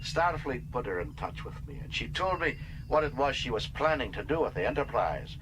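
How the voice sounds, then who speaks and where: A man's voice: medium pitch, sing-song, normal speed, background noise, pitched above the performer's own voice, lightly acted. A man voicing a hearty middle-aged ship's engineer with a Scottish accent in an audiobook. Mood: hearty, loyal.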